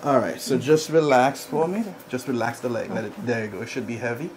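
A male chiropractor with a gravelly, mid-pitched voice talks a client through bodywork. His cues are short, repeated and reassuring. The small treatment room recording carries clear background noise. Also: brisk, a little mellow. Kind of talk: hands-on instruction to a client, ASMR bodywork session.

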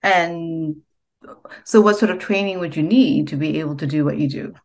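A woman hosting a podcast interview over a video call, asking gentle questions and giving soft encouraging backchannels. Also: low voice, normal speed. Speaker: podcast host. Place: home room with bookshelf.

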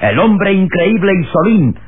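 A man's voice: high voice, big pitch swings, unhurried, phone-quality sound, a little hiss or hum. A man giving dramatic scripted narration as narrator of an old radio serial. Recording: band-limited old radio serial, music.

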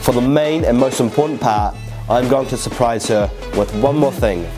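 A young man, a fitness YouTuber telling an excited story to camera, recorded indoors on a lapel mic. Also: mid-range voice, moderate pace. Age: young adult. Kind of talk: upbeat vlog narration to camera. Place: indoor living room, seated. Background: background music.

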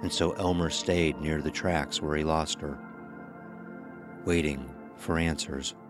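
A man's voice: rumbling low, steady tone, unhurried, over music. A man narrating a scripted horror story as its storyteller.

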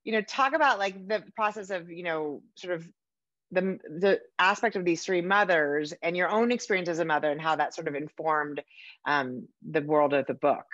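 A middle-aged woman with a lowish voice interviews in a conversational, searching way, often feeling for the next phrase. The sound is close and dry, a little muffled, like a home video call. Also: a bit bright, dry recording. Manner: halting, searching for words. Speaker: interviewer, podcast host. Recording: slightly dull video-call audio.

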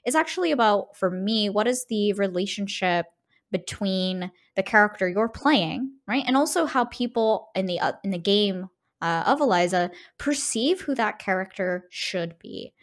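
A woman's voice: mid-range voice, expressive, normal speed. A woman co-hosting a podcast in an analytical, conversational way, often tagging her points with a questioning "right?". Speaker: podcast co-host. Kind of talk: conversational game discussion.

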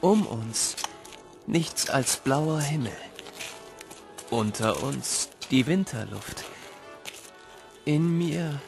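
A man playing a wistful narrator who tells his boyhood tale in measured, literary narration. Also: medium pitch, expressive, creaky, exaggerated voice. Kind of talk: scripted narration. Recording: loud music behind.

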